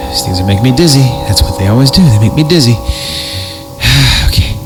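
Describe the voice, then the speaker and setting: A man's voice: mid-range voice, animated, moderate pace, over loud music. A man hosting a horror podcast, reading creepy stories theatrically and breaking off into irreverent, slangy asides. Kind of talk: dramatic reading of creepypasta with casual joking asides.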